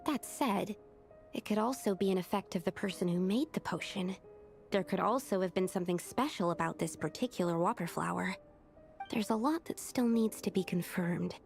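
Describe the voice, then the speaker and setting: A woman's voice: medium pitch, moderate pace, creaky, lightly acted. A woman playing an earnest, studious young female alchemist in a video game, explaining things carefully and analytically. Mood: thoughtful, careful. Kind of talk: video game character dialogue. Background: game music.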